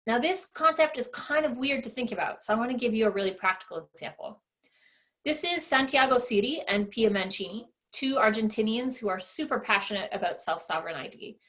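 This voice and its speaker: A woman's voice: on the high side, expressive, brisk, fairly bright tone. A woman giving a presentation, explaining things with enthusiasm. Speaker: conference speaker. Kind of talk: presentation talk.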